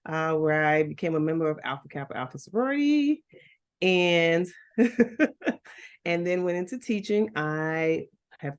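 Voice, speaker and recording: A woman's voice: fairly low pitch, expressive, a little slow, long pauses, dull audio. A middle-aged woman from Liberia, a guest chatting casually and telling her life story with laughs and restarts. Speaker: guest, school founder. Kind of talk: casual chat.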